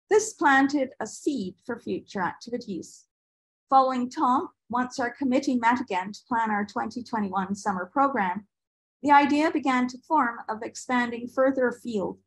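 An older woman from Barbados reading a prepared presentation in a measured, formal manner on a video call. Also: fairly high pitch, husky, rough recording. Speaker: artist and panel presenter. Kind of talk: prepared presentation read aloud. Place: indoor room with bookshelves, on a video call. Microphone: video call microphone.

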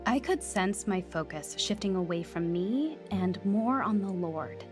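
A woman's voice: medium pitch, expressive, measured pace, lightly acted. An actress playing an earnest young woman recovering from drugs and newly converted, in a radio drama. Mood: reflective. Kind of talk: first-person radio drama narration and dialogue. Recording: studio drama with music underneath.

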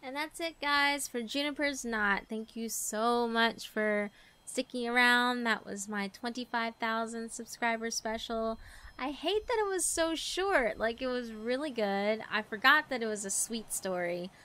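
A woman's voice: a little high, measured pace, sharp tone. A woman hosting a channel, talking casually and informally to her viewers, sometimes trailing off. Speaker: visual novel narrator and channel host.

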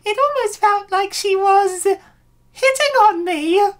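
Woman acting a very high-pitched flustered elf girl. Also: animated, slow pace, exaggerated voice. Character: flustered young elf woman, anxious and bashful. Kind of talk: comic dub character acting.

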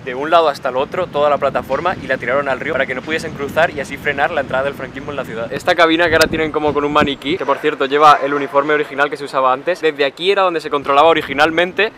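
A man in his twenties chatting casually to camera while travelling, filming at arm's length outdoors and talking in a quick, chatty way. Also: on the high side, fairly even intonation, a crowd in the background. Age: 20s. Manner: chatty, rapid, filler-heavy. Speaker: travel vlogger. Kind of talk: casual travel vlog narration. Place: outdoors on a bridge, city streets and coastal trail. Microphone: handheld camera at arm's length.

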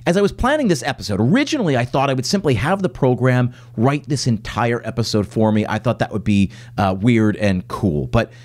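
Man, 40s, solo podcast host at a home desk; animated pitch, middle-range voice, casual explainer with fillers. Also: fairly quick, hardly any pauses. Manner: reads lists aloud, then talks loosely with many fillers and restarts. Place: home office with plants.

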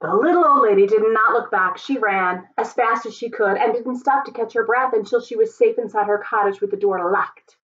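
A middle-aged woman reading a storybook aloud to children, with dramatic emphasis and deliberate pauses. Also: on the high side, a bit bright, dull audio. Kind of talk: expressive picture-book read-aloud. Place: home office, indoors.

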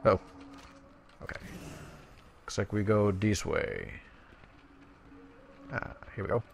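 A man's voice: lowish voice, big pitch swings, creaky, slight background noise. A man doing gameplay commentary, switching between casual asides and reading quest text aloud. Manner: casual asides between plain, measured read-outs. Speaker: gaming video creator.

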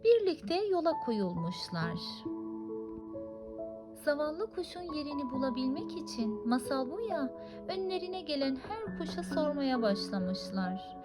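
A woman's voice: high voice, sing-song, unhurried. A woman narrating a scripted fairy tale for children as a storyteller, with music behind her voice. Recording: music under the voice. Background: clearly audible music.

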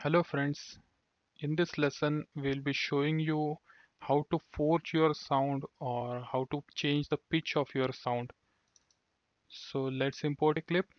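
A man giving a screen-recorded software tutorial, explaining steps one by one and sometimes restarting words. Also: fairly high pitch, little pitch movement, takes it slowly, no room sound. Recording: dull dry lo-fi voice-over.

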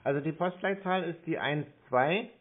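A man in his twenties on a phone call, dictating digits deliberately one at a time. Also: a little high. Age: 20s. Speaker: call recipient baiting a scammer. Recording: tinny phone line.

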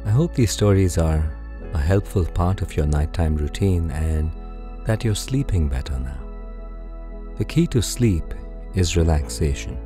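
Deep, very mellow man telling bedtime stories. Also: expressive, faint music behind. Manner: soothing, unhurried, gentle storytelling. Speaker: sleep meditation narrator. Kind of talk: guided bedtime story narration.

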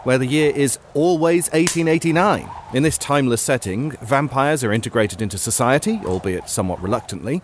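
An actor playing a knowing, slightly fussy time-travelling show host in a scripted comic audio drama. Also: male voice, mid-range voice, lively intonation, a little fast, few pauses, a little hiss or hum, lightly acted. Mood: knowing, ominous.

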